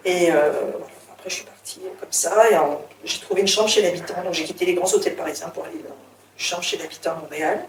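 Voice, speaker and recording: A woman's voice: lowish voice, steady tone, fast talker, slight background noise. Middle-aged woman, chatty, roomy distant mic. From France. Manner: chatty and reflective, with many fillers and restarts. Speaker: author and former teacher, interview guest. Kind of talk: casual interview, telling her life story.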